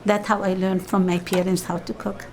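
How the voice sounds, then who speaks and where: A woman's voice: mid-range voice, level tone, normal speed, noisy background. A middle-aged woman from Lebanon with a Lebanese accent, Arabic her first language, speaking in an interview in simple, uneven English. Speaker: documentary interviewee.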